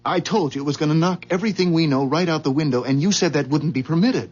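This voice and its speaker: A man's voice: on the high side, fast talker, a little mellow, close to an everyday voice. An actor playing an earnest, uneasy company employee in a 1970s radio drama, hesitant and stammering as he talks. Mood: hesitant, protesting. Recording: dull vintage 1970s radio recording.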